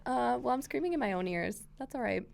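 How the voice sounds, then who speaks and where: A woman hosting a casual chat podcast from a library room, a bit hoarse from a cold, bubbly and quick to giggle. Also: a little high, expressive, darkish tone. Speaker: podcast host.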